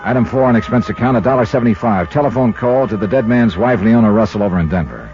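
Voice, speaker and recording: An actor playing a dry, world-weary insurance investigator in 1950s radio detective style, clipped and deadpan, with an American accent. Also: male voice, lowish voice, quick pace, lightly acted. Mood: brooding, matter-of-fact. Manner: clipped, hard-boiled, deadpan. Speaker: lead actor and narrator of a detective series. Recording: muffled 1950s radio recording, music behind.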